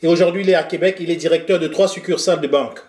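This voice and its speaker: A man's voice: on the high side, steady tone, racing, darkish tone. Friendly male host in an echoey room. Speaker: interview host. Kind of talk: conversational interview.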